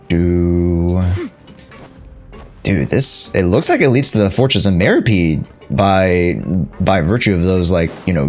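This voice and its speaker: A young man giving casual live gameplay commentary as a streamer, playful, slangy and quick to react, often talking to himself. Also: low-pitched, a little mellow. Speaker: game streamer. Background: faint game music.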